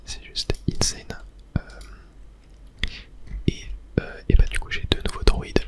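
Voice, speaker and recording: A person whispering softly through casual ASMR video game commentary, murmuring play-by-play with little filler words. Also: slow pace. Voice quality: soft unvoiced whisper. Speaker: gaming ASMR creator.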